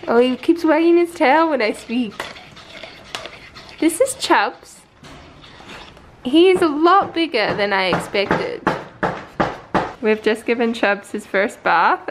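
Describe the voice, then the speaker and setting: A woman's voice: a little high, a bit bright. A young woman with a New Zealand accent chats casually into a phone held at arm's length. She rambles through her news, laughing often. A faint background hum sits under her voice. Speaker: vlogger. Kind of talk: casual vlog chat. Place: parked car.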